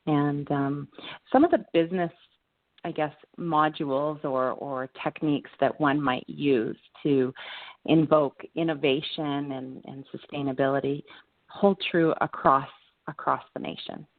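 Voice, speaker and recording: A woman gives conversational interview answers in a low voice. She sounds earnest and reflective, measuring out her phrases. The sound is dry and very close, with no room around it. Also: fairly even intonation, unhurried, no room sound, narrow, tinny audio. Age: 40s. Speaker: interview guest, entrepreneur.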